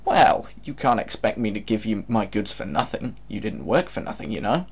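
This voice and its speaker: A man's voice: lowish voice, lively intonation, moderate pace, breathy edge. A man reading a story aloud, voicing a smug, affable male capitalist who talks down to his workers with a condescending politeness.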